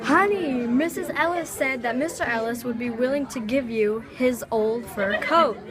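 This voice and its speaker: A woman's voice: a little high, normal speed, close to an everyday voice. Woman playing a coaxing wife, crowd chatter behind. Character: coaxing, hopeful wife and mother in puppet show. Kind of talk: amateur puppet show.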